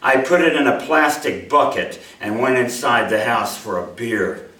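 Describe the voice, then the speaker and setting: Male writer, for live literary reading. Unhurried, animated pitch, mid register. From Mobile, Alabama, USA. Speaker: writer reading his own short story. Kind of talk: live story reading to an audience, expressive. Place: indoor room at a live reading event. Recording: roomy, echoey hall, distant microphone.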